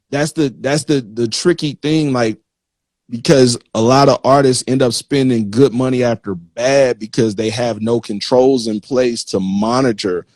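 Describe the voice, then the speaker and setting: A man hosts an interview in a casual, conversational way, with a mid-pitched voice in a dry recording. His talk is informal and slangy, dropping into imagined quotes. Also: darkish tone, no echo. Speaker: interviewer, magazine host.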